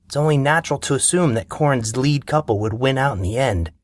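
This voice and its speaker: A man's voice: mid-range voice, expressive, fairly quick, no echo, clean studio sound, natural voice. A man voicing a cocky, sarcastic young man student who talks in a mocking, needling way.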